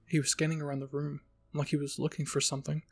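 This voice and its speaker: A man's voice: on the high side, completely flat delivery, quick pace. A man narrating a first-person fan-fiction story as a roleplay reading. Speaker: story reader.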